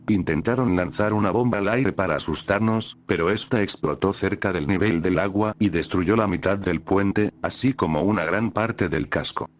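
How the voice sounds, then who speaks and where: Deep-voiced man narrating horror stories. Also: slight background noise.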